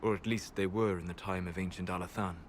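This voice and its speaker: An actor playing a guarded, scholarly male elf mage who speaks calmly and in a measured way, in game cutscene dialogue. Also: low-pitched, slight background noise, natural voice. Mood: solemn. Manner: calm, measured, soft-spoken. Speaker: video game character.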